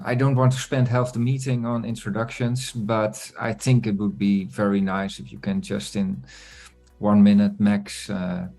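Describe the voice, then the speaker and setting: A man hosting an online community meeting, talking in a relaxed, chatty way with many fillers. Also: mid-range voice, vocal fry. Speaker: meeting host.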